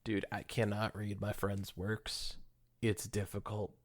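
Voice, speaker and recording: A man's voice: on the low side, completely flat delivery, unhurried. A man co-hosting a podcast, chatting in a casual, joking way. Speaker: podcast co-host.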